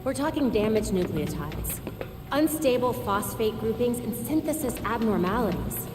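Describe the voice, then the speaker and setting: A woman's voice: on the high side, animated, normal speed, music in the background, close to an everyday voice. Woman playing a brainy young American heroine, roomy sound. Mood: assured, analytical. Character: brainy, confident young female masked vigilante. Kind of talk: video game cutscene acting. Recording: roomy hall, distant, score behind.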